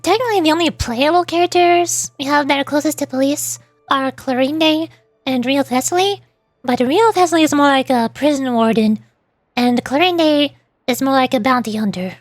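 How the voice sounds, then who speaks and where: A woman's voice: high-pitched, moderate pace, dry recording, soft background music. A woman chatting casually on a live game stream as a virtual YouTuber, rambling along as she talks. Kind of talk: casual live stream chat while reading game dialogue.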